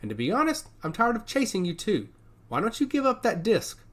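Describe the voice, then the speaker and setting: A man's voice: sits high, wildly swooping pitch, brisk, a bit bright, voice pushed up, full character voice. A man voicing a bossy, impatient gangster in an audio story, commanding and exasperated. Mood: impatient, menacing. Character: suited male gangster, impatient, menacing, bossy.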